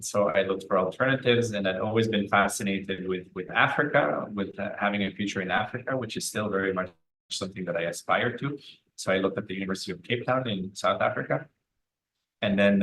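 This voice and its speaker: Guy from switzerland, low voice, measured and articulate, chatting about himself as an interview guest. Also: a bit bright, low-quality recording. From Italian-speaking Switzerland. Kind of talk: conversational personal storytelling.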